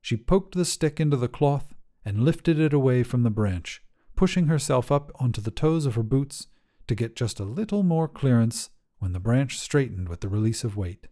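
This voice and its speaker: Man, short story narration, mellow and relaxed timbre, animated pitch movement. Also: normal speed. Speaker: fiction podcast narrator.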